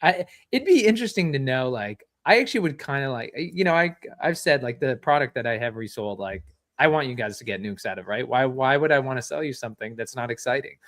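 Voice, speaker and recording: A man's voice: mid-range voice, animated, fairly quick, no room sound, studio quality. Man hosting a casual stream chat. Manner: conversational, asks long questions, gives shout-outs. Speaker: show host.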